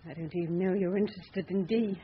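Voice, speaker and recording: A woman's voice: lowish voice, fairly even intonation, fairly quick, natural voice. An actress playing a jaded, hard-drinking, scornful woman in a radio drama. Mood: cool, bitter. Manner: sardonic, dismissive. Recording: muffled phone-like old radio recording, faint noise.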